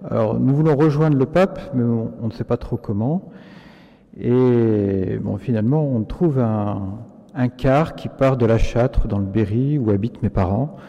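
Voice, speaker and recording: A man in his fifties speaks plainly and a little hesitantly to an audience. His voice is very dark and relaxed. The sound is dull and lo-fi, with a long hall reverb behind it. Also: medium pitch, a little slow, vocal fry. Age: 50s. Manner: plain, hesitant, with fillers and restarts. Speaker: speaker giving a testimony. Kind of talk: public personal testimony.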